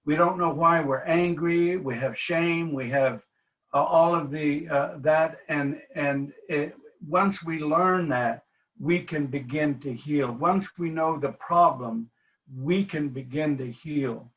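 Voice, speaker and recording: A man's voice: on the high side, slow, low-quality recording. A man, a reverend, speaking in a webinar, hesitant with many fillers. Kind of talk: webinar talk.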